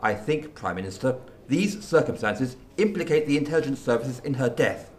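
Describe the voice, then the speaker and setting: A man playing a grave, formal senior government official in a radio drama, speaking in measured, articulate phrases. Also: mid-range voice, expressive, darkish tone, natural voice.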